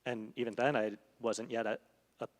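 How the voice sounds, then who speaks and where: A man's voice: mid-range voice, level tone, moderate pace. A man, a literary translator, talking onstage in a thoughtful, hesitant way with many fillers. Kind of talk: onstage conversation. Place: event hall. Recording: long reverb of a hall.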